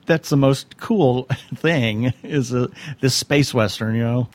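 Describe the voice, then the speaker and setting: A man's voice: mid-range voice, slow. A man chatting casually as a podcast co-host, asking questions and reacting to his guests. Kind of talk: casual chat. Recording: dull lo-fi connection.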